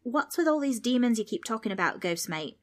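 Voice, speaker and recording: Cheeky Australian-accented boy, played by a woman narrator; raised pitch, casual and wisecracking. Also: big pitch swings, a little fast. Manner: cheeky, offhand.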